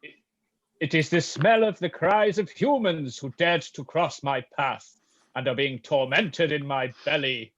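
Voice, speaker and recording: A man's voice: a little high, unhurried, fairly bright tone, dull audio. A man playing a haughty, menacing troll king who eats humans, speaking in archaic, theatrical threats for a folktale radio play.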